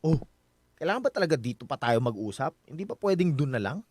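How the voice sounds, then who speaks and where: A man's voice: fairly high pitch, very animated, fast, a little mellow, slight background noise. A man acting as a trusting, stubborn husband in a Filipino radio drama, talking in a casual, persuasive way. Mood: defensive.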